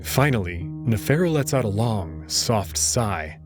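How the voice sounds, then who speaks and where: A man's voice: fairly low pitch, very animated, unhurried, over music. A man reading a first-person story as narrator in a dramatic storytelling style. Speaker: narrator and reader.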